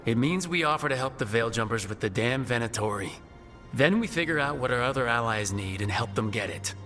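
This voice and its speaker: A man's voice: mid-range voice, animated, fairly quick, fairly bright tone, faint music behind, lightly acted. A man playing a determined, decisive team leader in a fantasy video game. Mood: resolute.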